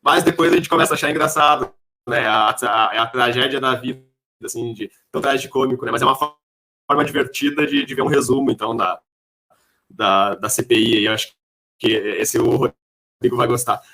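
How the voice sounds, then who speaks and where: Man, bright sharp timbre, average pitch, casual podcast hosting. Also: steady tone, fairly quick, vocal fry. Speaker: podcast host.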